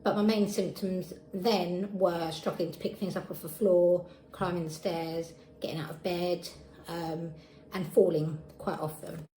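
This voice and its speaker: Woman, thirties, southeast English accent, lowish pitch, echoey recording with faint noise, personal testimony. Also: fairly even intonation, measured pace, mellow. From Essex, England. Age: 30s. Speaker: patient sharing experience.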